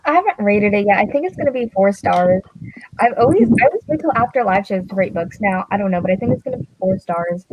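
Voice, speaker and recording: A woman's voice: medium pitch, very animated, fast, muffled recording, a little hiss or hum. A young woman, a book vlogger, chatting casually in a rambling, self-deprecating way with many fillers. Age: young adult. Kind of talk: casual chat.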